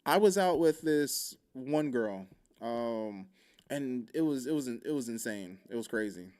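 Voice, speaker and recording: A man from Hawaii telling a story in a casual, joking podcast chat, animated and full of vocal sound effects. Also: mid-range voice, unhurried. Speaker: podcast speaker.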